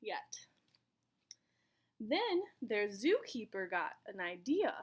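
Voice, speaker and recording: A woman reading a children's picture book aloud in an expressive storytelling manner, with dramatic pauses. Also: mid-range voice, breathy edge. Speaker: volunteer story reader.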